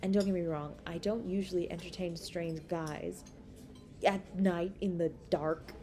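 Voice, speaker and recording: Slow-talking, coy young woman on a date, acted. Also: on the low side, steady tone, warm tone, soft background music, lightly acted. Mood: coy, teasing. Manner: teasing, coy, giggly, hesitant pauses. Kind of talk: romantic roleplay audio acting.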